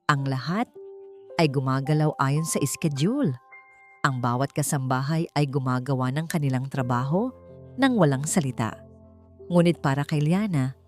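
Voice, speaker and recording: A woman's voice: low voice, a little mellow. A woman narrator reading a scripted romance story in a dramatic storytelling style.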